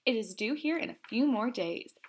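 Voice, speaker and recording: A woman voicing a kind, upbeat immigrant father in a read-aloud storybook, reading gently and reassuringly. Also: on the high side, expressive, normal speed, slightly rough. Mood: gentle.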